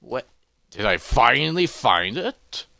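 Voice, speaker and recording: A man voicing an excitable, curious young adventurer who exclaims and muses to himself in a fantasy story. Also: mid-range voice, takes it slowly, vocal fry, dry recording, full character voice. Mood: elated.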